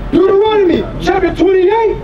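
A man reading scripture aloud outdoors, slow and loud as he declaims each verse. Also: very high voice, steady tone, highs cut off, crowd noise. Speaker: scripture reader.

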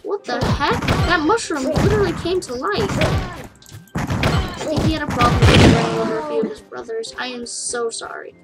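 A woman casually narrating her play of a game for viewers, rambling and chatty, with giggles and excited yelps. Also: high voice, normal speed. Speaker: gaming YouTuber. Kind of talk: casual let's play commentary. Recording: game music under the voice.

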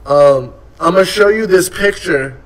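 A young male streamer who talks in loose slang and swears while teasing his guest. His voice sits fairly high and sounds a bit removed from the microphone. He is recorded indoors at a desk setup. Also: unhurried, far from the mic, poor audio. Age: young adult. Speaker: streamer host. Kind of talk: casual live-stream chat.

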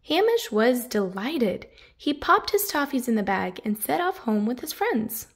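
A woman narrates a picture book for young children in a high voice. Her pitch swings widely and she takes her time, lingering on descriptive words. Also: breathy edge. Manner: animated, drawn-out emphasis on descriptive words. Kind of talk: expressive picture-book read-aloud.

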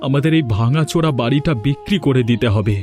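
An actor playing a troubled, fatalistic poor village eldest brother in a cartoon, earnest and grave. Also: male voice, medium pitch, fast, darkish tone, faint music behind, close to an everyday voice. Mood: gloomy, resigned. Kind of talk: cartoon moral story voice acting.

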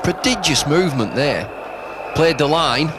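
A man's voice: fairly high pitch, expressive, a little slow. A man commentating live on television cricket in terse, understated remarks. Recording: noisy archive broadcast, loud crowd. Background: loud stadium crowd noise.

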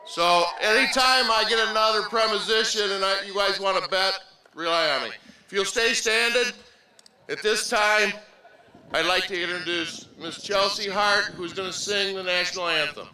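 A man's voice: sits high, normal speed, bright, forceful tone, recorded from a distance. A middle-aged man, the acting principal, emceeing an outdoor ceremony at a podium microphone in a joking, informal way. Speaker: acting high school principal. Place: outdoor stadium field. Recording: echoey PA heard from afar.

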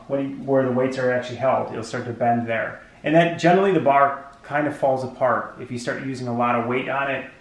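Rambling man in a very echoey room. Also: medium pitch. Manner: rambling, many fillers and restarts. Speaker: product reviewer. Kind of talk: casual product review. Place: home gym room indoors. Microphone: camera mic from a few feet.

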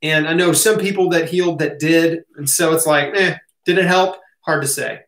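A man's voice: on the high side, moderate pace, a bit bright. An American man in his thirties or forties, answering audience questions in a casual, opinionated, colloquial way. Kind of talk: casual Q&A talk to camera. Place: small home office, indoors. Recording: roomy echo of a home room.

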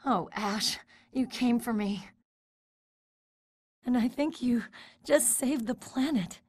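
Slow-speaking heroine. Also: female voice, a little high, a little mellow, breathy edge, no room sound. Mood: grateful, admiring. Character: grateful female love interest of an action hero. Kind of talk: video game cutscene acting.

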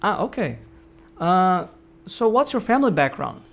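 A middle-aged man hosting a podcast interview, reading an introduction in a measured, friendly way from his home office. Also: sits high, very animated, gravelly, a bit bright. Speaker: podcast host.

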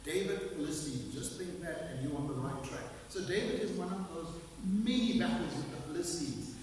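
Older male preacher, high voice, emphatic and drawn out, echoey church hall, heard from afar. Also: darkish tone. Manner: emphatic, slows down to stress key phrases. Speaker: pastor. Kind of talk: sermon. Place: small church hall with stage and pulpit. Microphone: room mic at a distance. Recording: echoey hall, distant mic, faint hiss.

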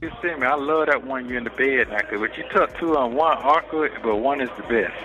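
A man's voice: mid-range voice, brisk. Man on a noisy, muffled jail phone call. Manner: profane, flirtatious, repetitive. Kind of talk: intimate casual phone chat.

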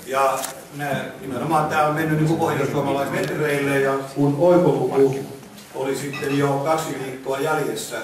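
A man's voice: medium pitch, flat delivery, crowd noise. A man giving an informal presentation to a meeting. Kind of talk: informal presentation of a competition calendar. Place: indoor meeting room, camera in the audience. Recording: echoey meeting room, distant mic, noisy.

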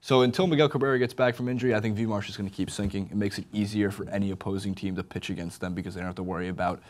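A man's voice: fairly low pitch, steady tone, very fast. A young American man hosting a sports panel chat from a studio desk on a lapel mic. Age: young adult. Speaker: sports show host.

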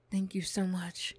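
A woman's voice: mid-range voice, a little mellow, slightly rough. A woman acting a flustered, defensive young former bully in an ASMR roleplay, stammering, bashful and snappy. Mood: shy, surprised.

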